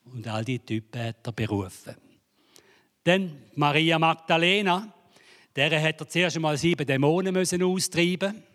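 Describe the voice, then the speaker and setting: A man's voice: on the high side, moderate pace, fairly bright tone. A man preaching a sermon in a church hall, joking and building to dramatic emphasis. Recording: reverberant hall.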